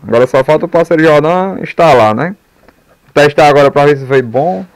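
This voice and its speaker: Male motovlogger, higher-pitched, informal slangy chat, heavy noise on action-camera audio. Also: fairly quick. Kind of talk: casual vlog chat. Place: riding a motorbike on rural dirt roads, later a dim room. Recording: noisy action-camera audio, distant voice, motorcycle rumble.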